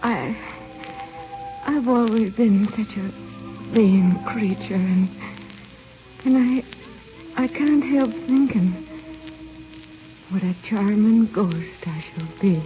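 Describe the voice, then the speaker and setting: An actress playing a tender, wistful dying young bride, halting and faint, in old-time radio style. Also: female voice, medium pitch, natural voice. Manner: slow, halting, faint, melodramatic. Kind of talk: 1940s radio acting. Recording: muffled old radio recording with music.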